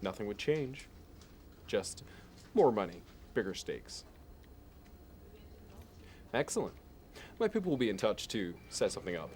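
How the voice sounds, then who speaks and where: A man playing a smug, meticulous Manhattan investment banker in an ASMR roleplay, speaking in a measured, self-assured way. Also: fairly low pitch, very animated, darkish tone, a little hiss or hum. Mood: smooth businesslike.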